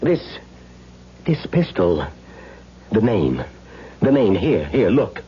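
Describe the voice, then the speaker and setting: A man's voice: fairly low pitch, very animated, slow, dark tone, husky, exaggerated voice. An actor playing a flustered, bewildered man who stammers and repeats himself in a 1970s radio drama. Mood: shaken, bewildered. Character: flustered, bewildered man, a film production's pistol provider. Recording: dull, noisy old radio recording.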